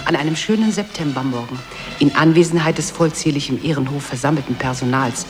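An actress playing an expansive narrator of a satirical office tale, speaking in rolling, grandiloquent sentences. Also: female voice, sits low, fairly quick, music in the background, natural voice. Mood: ceremonious. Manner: grandiloquent, rolling long lists. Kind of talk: scripted radio play narration addressed to "you".

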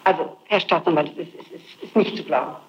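A woman's voice: low voice, fast. A woman being questioned as a suspect, answering emphatically and insistently and repeating her phrases. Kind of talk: spontaneous interrogation testimony. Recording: dull, noisy, echoey archival tape.

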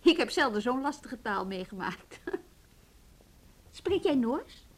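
An actress playing an assertive, direct woman with a quick wit in a radio play. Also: on the high side, very animated, heavily acted. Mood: light, chatty. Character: assertive, sharp-witted woman pressing her case. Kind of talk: Dutch radio play acting. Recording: noisy old radio drama recording.

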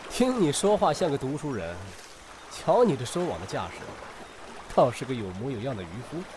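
A man's voice: mid-range voice, big pitch swings, a little fast, background noise, natural voice. A man acting a carefree, teasing, centuries-old ghost with a youthful air and haughty archaic speech in a period audio drama.

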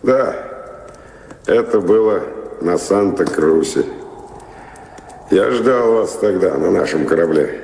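A nostalgic seafaring vagabond for a radio play. The voice is deep and mellow, musing in broken phrases like a born storyteller, and it rings in a roomy, echoing space. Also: male voice, expressive, slow pace, creaky, long pauses, slight background noise, heavily acted. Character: wandering male seafarer and former pirate, talkative, nostalgic. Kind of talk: Russian audio drama acting. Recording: roomy reverberant hall sound.

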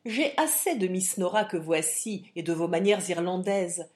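A woman's voice: lowish voice, expressive, moderate pace, a little mellow, lightly acted. A woman voicing a burly, haughty English army captain in an audiobook, formal and sarcastic in manner. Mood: cold anger. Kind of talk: audiobook character acting.

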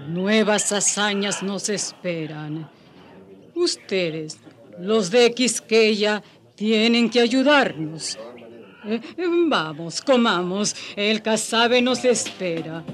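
An actor in a radio drama playing a kindly, solemn Taíno village elder, measured and ceremonial, with hesitant interjections. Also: male voice, high voice, fairly bright tone. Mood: grave, hospitable.

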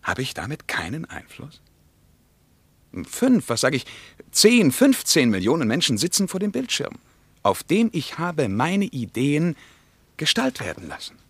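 An actor playing an intense German writer in a radio drama, speaking in an emphatic, declamatory and deliberate way. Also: male voice, on the high side, huge pitch swings, slightly rough, no room sound, exaggerated voice. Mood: earnest. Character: intense German writer explaining his story of oppression. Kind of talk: 1950s-60s radio drama acting.

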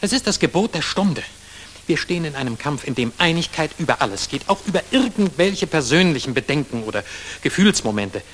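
An actor playing an earnest, anxious older industrialist who speaks formally and pleadingly in a German radio drama. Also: male voice, on the high side, very animated, brisk, a bit bright. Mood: urgent, pleading. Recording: faintly hissy 1960s mono radio recording.

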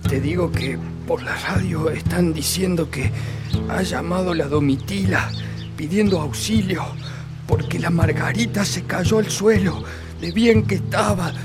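A man's voice: high voice, a little slow, loud music behind the voice. A man with a northern Argentine accent playing an agitated, kindly village elder in a radio play. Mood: distressed.

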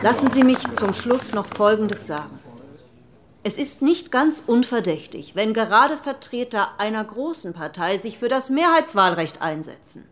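A woman's voice: on the high side, sing-song, moderate pace, slight background noise. A woman playing an earnest, combative parliamentary delegate of the 1940s, giving formal, articulate, insistent political speeches. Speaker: actor reading historical debate speeches. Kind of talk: formal political oratory from written transcripts.

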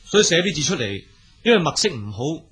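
A man playing a polite, earnest poor young scholar in a Cantonese storytelling drama, speaking courteously with a husky voice. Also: on the high side, big pitch swings, quick pace, fairly bright tone, soft background music, exaggerated voice. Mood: explaining.

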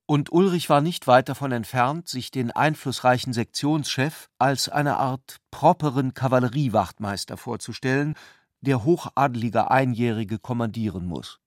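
A man's voice: mid-range voice, no room sound. Man narrating literary prose, dry studio sound. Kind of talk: scripted narration for a radio play.

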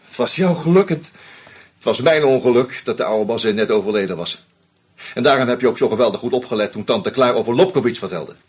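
An actor playing a shrewd screenwriter who methodically unmasks a killer, with a husky voice and dry irony. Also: male voice, medium pitch, animated, brisk. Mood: accusing. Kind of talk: radio play acting.

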